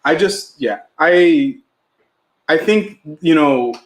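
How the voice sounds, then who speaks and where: A man co-hosts a live podcast in a loose, rambling way, full of fillers and restarts. His family is Mexican and Spanish was his first language. His voice sits a little high, and it echoes in the room around him. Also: expressive, takes it slowly. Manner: chatty, filler-heavy, restarts often. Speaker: podcast co-host.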